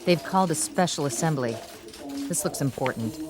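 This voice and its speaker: An actress playing an alert, concerned colleague in a radio drama. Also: female voice, sits low, expressive, normal speed, music in the background. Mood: urgent, awed.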